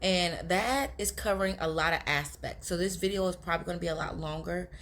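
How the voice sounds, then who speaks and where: A young woman with a raspy, hoarse voice, chatting casually to her viewers about relationships. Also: lowish voice, fairly quick, fairly bright tone, slight background noise. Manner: chatty, confiding, addresses viewers as girls. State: hoarse from talking all day. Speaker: relationship advice vlogger. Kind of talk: casual advice talk to camera.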